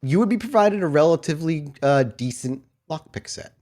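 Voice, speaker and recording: A man's voice: a little high, expressive, brisk, warm tone. A man narrating and chatting with players as game master of a tabletop roleplay podcast. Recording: music under the voice.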